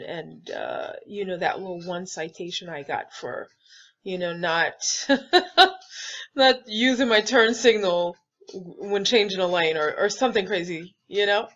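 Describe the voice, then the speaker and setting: A woman's voice: mid-range voice, dull audio. Sassy, candid woman trucker. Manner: candid, sassy, laughs often, swears. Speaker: truck driver vlogger. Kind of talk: casual advice vlog. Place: truck cab.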